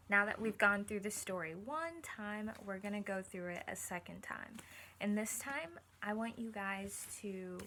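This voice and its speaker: Female teacher for picture-book read-aloud, bright tone, chatty asides to children, some noise behind. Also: mid-range voice. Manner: addresses listeners directly with questions. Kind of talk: animated read-aloud with asides to young listeners.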